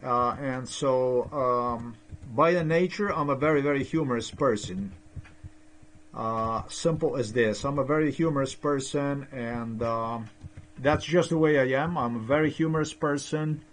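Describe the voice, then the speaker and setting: A middle-aged man who learned English as a second language talks at his webcam in a small room. He stumbles over his words, repeats himself and fills gaps with "uh". His voice sits at an ordinary pitch for a man. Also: unhurried. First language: not English. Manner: hesitant, many fillers and restarts. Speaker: vlogger. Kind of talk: rambling screen-share commentary. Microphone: webcam at desk.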